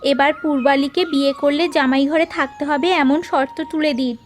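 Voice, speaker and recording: A woman playing a concerned, outspoken mother and landlord's wife in an animated folk tale, earnest and pleading. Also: high voice, normal speed, lightly acted. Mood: reproachful. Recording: music behind the voice.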